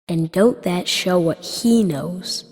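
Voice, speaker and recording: A woman voicing an upset, rough-spoken young boy mage with streetwise, ungrammatical speech in an audiobook. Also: fairly low pitch, slow, darkish tone, no room sound. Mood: worried, grim. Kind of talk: audiobook character acting.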